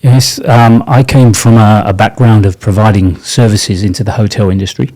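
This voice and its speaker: A man's voice: on the low side, steady tone, moderate pace, darkish tone. A man speaking as a panel guest from industry, earnest, with frequent ums. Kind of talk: casual panel discussion.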